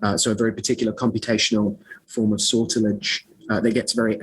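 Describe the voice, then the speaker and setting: A man's voice: fairly low pitch, flat delivery, fast talker, slightly rough, poor audio. A man being interviewed as an author and scholar, talking in a rambling way with lots of fillers, restarts and self-mocking asides. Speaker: author and scholar of magic, podcast guest. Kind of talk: conversational interview.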